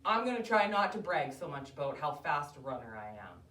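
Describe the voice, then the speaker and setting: A woman voicing a boastful, excitable male hare in a children's story, with casual, dramatic delivery. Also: deep voice, a little fast, roomy sound, distant mic, pitched below the performer's own voice. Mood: sheepish. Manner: casual, bragging, dramatic exclamations.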